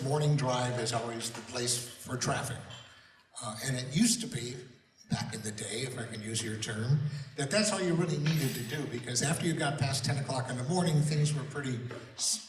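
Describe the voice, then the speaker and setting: A man moderating a public panel informally, speaking in a measured, wordy, explanatory way. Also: mid-range voice. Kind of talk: informal moderating, announcements and questions. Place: large room at a recorded public meeting. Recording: echoey room, distant mic, faint noise.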